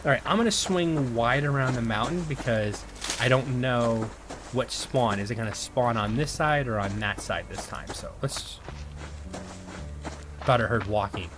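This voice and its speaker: A man's voice: medium pitch, a little slow. Guy rambling through a game for his viewers, voice kinda shot today, noisy mic with clicks. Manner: rambling, chatty, talks to viewers while playing. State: losing his voice. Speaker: gaming video host. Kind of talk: casual gameplay commentary.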